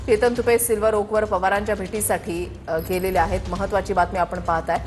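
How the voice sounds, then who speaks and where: A woman's voice: mid-range voice, level tone, brisk, soft background music. A woman anchoring a breaking news bulletin, repeating and expanding on the headline.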